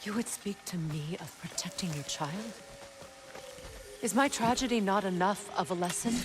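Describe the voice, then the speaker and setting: A woman's voice: mid-range voice, normal speed, noisy background, lightly acted. An actress playing a proud, grieving mother goddess in a video game, with a cutting, imperious, theatrical way of speaking. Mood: bitter, reproachful. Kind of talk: dramatic video game acting. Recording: loud music under the voice.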